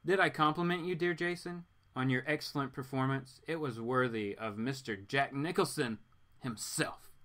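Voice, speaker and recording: A man voicing a smug female botanist villain from a comic book, speaking in a formal, theatrical, flattering way. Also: medium pitch, normal speed, lightly acted. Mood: smug praise.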